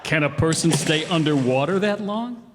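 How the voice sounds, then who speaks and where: Man acting cocky bossy guy, high-pitched, echoing hall. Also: lively intonation, normal speed, noisy background, lightly acted. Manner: brash, bossy, exasperated. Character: cocky, bossy grown man, scheming bumbling buddy. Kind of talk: old-time radio comedy acting. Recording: live hall stage, long reverb.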